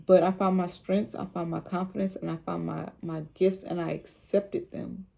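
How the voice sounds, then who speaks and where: Low, gravelly, soothing woman. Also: level tone, moderate pace, warm tone. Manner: rambling, fillers and repeats. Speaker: vlogger. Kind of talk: casual faith talk.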